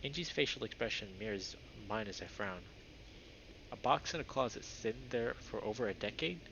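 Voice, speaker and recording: A man's voice: mid-range voice, lively intonation, moderate pace, creaky, sharp tone. A man live-streaming a visual novel, reading it aloud and chatting casually with viewers. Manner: chatty, greets viewers by name. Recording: dull lo-fi microphone, faint noise.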